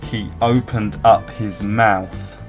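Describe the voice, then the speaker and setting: A man giving an expressive scripted reading of a rhyming children's story. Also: lowish voice, takes it slowly. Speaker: storybook reader. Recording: faint guitar music behind. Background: soft plucked guitar music.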